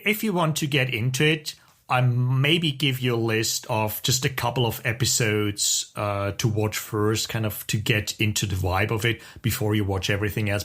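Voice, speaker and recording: A man's voice: fairly low pitch, a bit bright. Casual, rambling male podcast host. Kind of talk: casual review chat.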